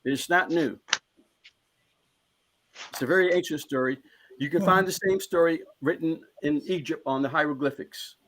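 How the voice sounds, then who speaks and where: A man with a fairly high-pitched voice speaks as a panelist in a live discussion. He is earnest and emphatic, and he circles back over his own phrases as he presses each point home. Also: moderate pace, long pauses, fairly bright tone, a little hiss or hum, rough recording.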